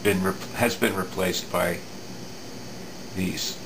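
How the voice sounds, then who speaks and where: Man, middle-aged, creaky voice, unhurried, matter-of-fact, halting, hands-on repair demo, indoor workbench. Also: sits low. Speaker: electronics repair demonstrator. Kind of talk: unscripted hands-on repair demonstration. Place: indoor home electronics workbench. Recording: steady room hum, air-conditioning noise.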